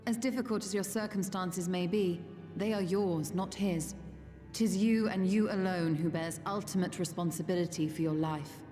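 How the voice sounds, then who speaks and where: A forthright fantasy game sorceress, played by a woman, speaking formally and with measured care in archaic phrases. Her voice sits low and mellow. It rings with long reverb. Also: soft background music, natural voice. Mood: firm, measured. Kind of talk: scripted fantasy game dialogue.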